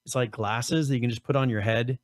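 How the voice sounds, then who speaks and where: A man co-hosting a casual gaming podcast, losing his voice, his throat strained and cracking on high notes, rambling with many restarts. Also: steady tone, brisk, no room sound, clean studio sound. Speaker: podcast co-host.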